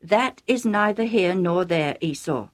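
A woman voicing a grave old sheik father who speaks slowly and solemnly. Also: on the low side, lively intonation. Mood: stern.

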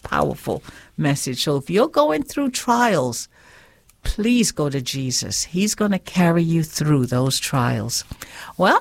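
A woman hosts a faith radio show, talking slowly and earnestly as she preaches and reads scripture. Her voice sits a little low. The sound is dry and close. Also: no echo.

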